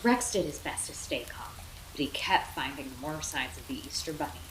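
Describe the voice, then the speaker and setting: A woman reading a children's bedtime story aloud as a mother would, in an expressive, theatrical way. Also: low voice, moderate pace. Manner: animated, theatrical, with dramatic whispers and asides. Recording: roomy echo, clear background noise.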